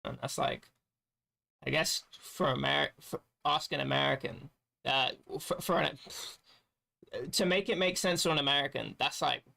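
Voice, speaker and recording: Tired gravelly-voiced male streamer. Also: on the high side, normal speed, pushed and bright. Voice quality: raspy, gravelly edge. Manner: loose, rambling, swears casually. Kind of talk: casual gaming stream chat.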